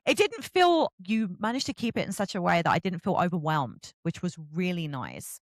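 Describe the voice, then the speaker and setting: A woman's voice: on the low side, sing-song, moderate pace, dry recording, studio quality. A woman hosting a casual author interview, chatty and effusive in how she reacts and asks questions.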